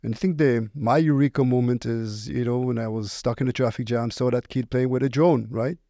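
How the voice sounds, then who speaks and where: A man's voice: medium pitch, fairly even intonation, brisk, gravelly, warm tone, dry recording. Middle-aged male founder with an Israeli accent, mid-range voice, casual interview storytelling, very dry sound. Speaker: company founder, podcast guest.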